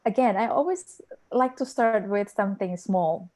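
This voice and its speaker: A woman's voice: medium pitch, normal speed, darkish tone. A woman on a discussion panel answering a question carefully, with hesitations. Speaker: panel speaker.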